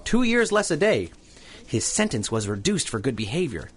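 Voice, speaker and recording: A man's voice: mid-range voice, sing-song, normal speed, close to an everyday voice. A man playing a mild, earnest, hesitant newspaper reporter who stammers, in a 1930s-style radio serial. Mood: earnest, excited.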